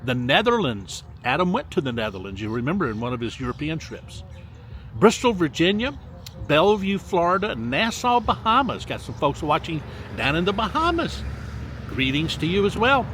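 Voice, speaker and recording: A man's voice: a little high, sing-song, fairly bright tone, slight background noise. Folksy older man reading place names. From Mississippi. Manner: drawn-out, sing-song reading of place names with folksy asides. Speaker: vlogger reading viewer locations. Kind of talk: casual viewer shout-outs. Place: outdoors by a lakefront.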